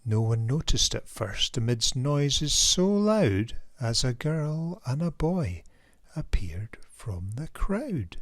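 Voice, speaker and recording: A man narrates a rhyming picture book for children at an unhurried pace. His delivery is theatrical and emphatic, with careful measured phrasing. The sound is close and dry. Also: medium pitch, big pitch swings, no room sound. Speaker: children's author reading own picture book. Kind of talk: expressive rhyming storybook narration.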